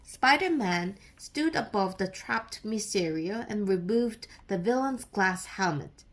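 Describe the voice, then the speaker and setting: A woman narrates a children's storybook aloud. Her pitch sits in the middle for a woman and her pace is slow, with careful, expressive phrasing. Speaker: storybook reader.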